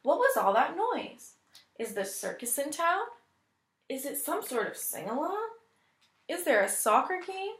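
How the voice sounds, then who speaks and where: A woman reading a picture book aloud as a teacher would, deliberate and expressive, pausing to think aloud. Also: fairly high pitch, slight background noise. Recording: echoey room.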